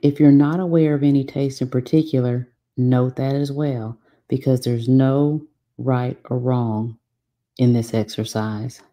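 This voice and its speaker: Woman doing a guided meditation, really dark mellow voice, slow and soothing w long pauses. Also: extremely low pitch, fairly even intonation. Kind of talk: guided meditation, scripted narration.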